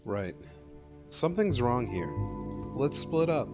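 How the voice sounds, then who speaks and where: A man's voice: medium pitch, big pitch swings, slow pace, over music. A man playing a dry, practical, slightly impatient young man in an amateur radio play. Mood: wary.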